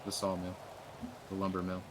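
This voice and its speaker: A man's voice: low-pitched, monotone, normal speed, background noise. A man in casual in-game roleplay chat, speaking in a blunt, assertive, informal way. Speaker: player in an online zombie survival roleplay.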